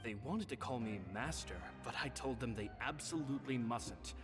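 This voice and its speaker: An actor playing a kindly, humble male stage magician, reading his diary in an earnest, gentle way in a game voice-over. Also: medium pitch, moderate pace, natural voice. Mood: reflective. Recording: game audio with long reverb and music.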